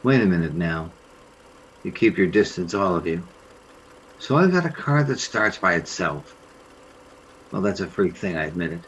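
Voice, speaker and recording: A man's voice: deep voice, animated, moderate pace, long pauses, fairly bright tone, muffled recording, slight background noise. A man playing an accused suburban husband, bewildered, defensive and indignant, in a radio play.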